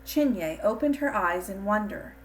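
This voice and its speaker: A woman reading a scripted children's storybook in an expressive, deliberate storytelling manner. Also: mid-range voice, slight background noise, rough recording. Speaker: storybook reader.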